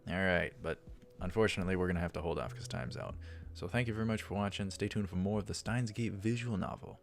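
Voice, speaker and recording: Male let's play host, low pitch, narrating and commenting on a visual novel over background music. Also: quick pace, creaky.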